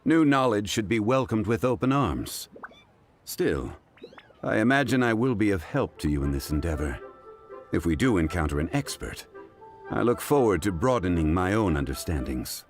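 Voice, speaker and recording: A man playing a calm, scholarly gentleman consultant in a video game, speaking in a measured, formal, eloquent way. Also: lowish voice, faint music behind. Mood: composed. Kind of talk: video game character acting.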